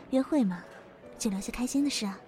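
A woman playing a meek, wounded young office worker in an audio drama, speaking softly and hesitantly. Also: fairly high pitch, normal speed, lightly acted. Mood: downcast, reassuring. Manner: soft, hesitant, subdued. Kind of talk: modern audio drama acting. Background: faint music.

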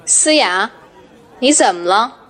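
Actress as friendly shopkeeper, faint chatter behind. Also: female voice, a little high, expressive, slow, a bit bright. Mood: curious, concerned. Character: friendly, concerned female shopkeeper. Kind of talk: Chinese audio drama acting.

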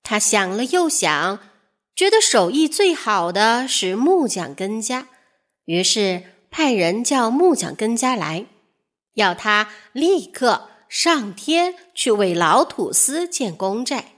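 A woman narrating a children's fairy tale in a measured, expressive storytelling manner. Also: fairly high pitch.

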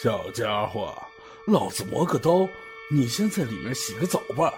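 A man playing a gruff, crude, gloating hunter in a Chinese audio drama. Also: mid-range voice, very animated, normal speed, close to an everyday voice. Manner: crude, swaggering. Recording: loud music behind the voice.

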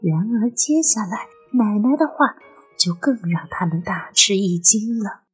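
Woman narrating a spooky audio drama, slow n atmospheric, lively intonation, really breathy. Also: medium pitch, soft background music. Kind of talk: scripted dramatic story narration.

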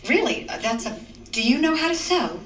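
An actress playing a brisk, condescending suburban mother who runs a committee in a TV comedy-drama. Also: high-pitched, big pitch swings, a bit bright, a little hiss or hum. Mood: doubtful, politely forced. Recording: echoey room, distant mic, TV clip.

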